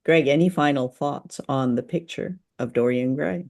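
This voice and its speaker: A woman hosting a casual literary podcast, speaking haltingly as she thinks aloud. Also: a bass voice, darkish tone. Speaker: podcast host.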